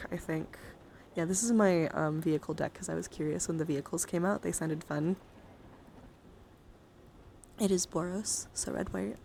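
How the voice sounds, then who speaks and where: A woman hosting a casual ASMR livestream, chatting playfully and coaxingly and often slipping into breathy whispers. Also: on the low side, darkish tone. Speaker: livestream host. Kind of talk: casual chat and ASMR handcam stream. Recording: clearly audible background noise.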